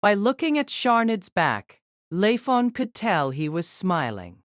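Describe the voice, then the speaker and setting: A woman narrating a novel in steady storytelling, also voicing the male lead's lines. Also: mid-range voice, unhurried, dry recording, studio quality.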